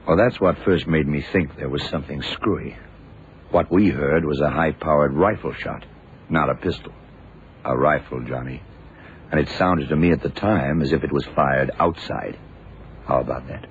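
Very deep-voiced, shrewd radio detective. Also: male voice. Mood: probing. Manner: deliberate, probing, questioning. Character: shrewd, laconic male detective puzzling out a murder. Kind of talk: 1960s-70s radio drama acting. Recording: muffled, noisy old radio recording.

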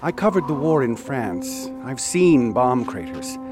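An actor as a persistent newspaper reporter. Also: male voice, medium pitch, sing-song, measured pace, over music. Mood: grim. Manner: probing, persistent. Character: pushy newspaper reporter, war veteran. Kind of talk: audio drama acting.

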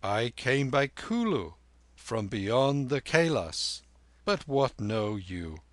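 An aged Tibetan lama, performed by a man, speaks slowly and gently. His phrasing is solemn and archaic, a weary wandering holy man from a storybook. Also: medium pitch, sing-song, no echo. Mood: gentle, dignified.

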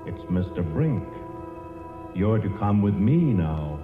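An echoey, tinny old radio recording with music behind carries Death as a patient, courteous gentleman. His voice is on the low side, in 1940s radio drama style. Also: big pitch swings, takes it slowly, exaggerated voice. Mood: calm, coaxing.

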